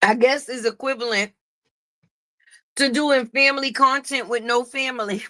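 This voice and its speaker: Loud sassy high-voiced woman streamer. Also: fairly even intonation, normal speed, no room sound. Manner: sassy, loud, greets viewers by name. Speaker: live stream host. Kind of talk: casual gossip commentary.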